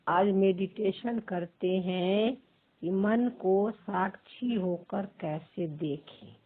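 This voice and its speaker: A woman leads a guided meditation as a teacher, pausing between short, measured phrases in a husky, creaky voice. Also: medium pitch. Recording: thin phone-like sound.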